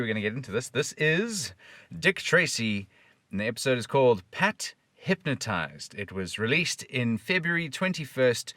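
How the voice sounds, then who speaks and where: A man hosting his own channel, chatting casually and softly to viewers in a rambling way. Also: lowish voice, no echo. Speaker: host of a voice channel. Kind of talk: casual chat to camera.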